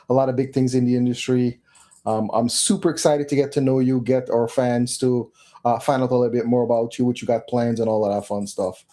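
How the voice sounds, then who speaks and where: A man's voice: medium pitch, warm tone. A man hosting a live talk show, making announcements and welcoming a guest in an upbeat way with frequent fillers. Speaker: show host.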